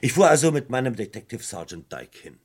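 An actor playing a composed, methodical police inspector in a German radio crime drama, asking probing, businesslike questions. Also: male voice, medium pitch, big pitch swings, a little fast, slightly rough. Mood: matter-of-fact narrating. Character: composed, methodical British police inspector leading a murder inquiry.

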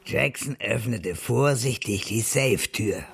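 An actor playing the wry narrator of a hard-boiled story in a German radio crime drama. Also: male voice, mid-range voice, measured pace, creaky, a bit bright, slightly rough, full character voice. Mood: matter-of-fact.

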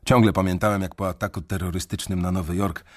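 Husky low-voiced male radio narrator. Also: fairly even intonation. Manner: measured, brooding first-person telling. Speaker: narrator and main character.

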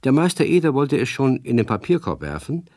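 A man narrating a children's radio story in a measured, expressive storytelling manner. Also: mid-range voice, dry recording, studio quality.

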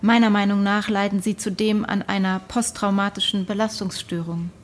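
Eine Schauspielerin spielt im Hörspiel eine gefasste Psychologin, sachlich und nachfragend. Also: female voice, mid-range voice, level tone, moderate pace, natural voice. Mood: composed, probing. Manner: matter-of-fact, probing. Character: composed, clinical female psychologist. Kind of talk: radio drama dialogue.

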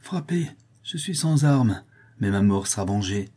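A man voicing a defiant young male scholar who speaks in a declamatory, accusing way with a husky voice. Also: fairly low pitch, normal speed, mellow.

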